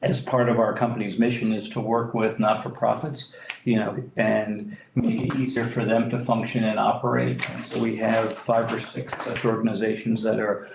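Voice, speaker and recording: A developer presenting to a public board meeting, halting with many fillers and restarts, clearing his throat as he loses his voice. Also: on the low side, level tone. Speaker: property developer. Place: meeting room. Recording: echoey room, faint noise.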